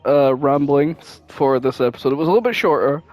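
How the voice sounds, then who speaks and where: A man's voice: mid-range voice, expressive, brisk, darkish tone, dry recording. A man chatting casually as a podcast co-host, informal and prone to swearing. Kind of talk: casual chat, guessing game.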